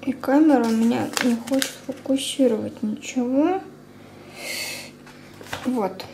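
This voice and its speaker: A young woman chatting casually through a product review as a beauty vlogger, informal and unhurried, thinking aloud. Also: a little high. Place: indoors at a table at home. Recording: clear background room noise.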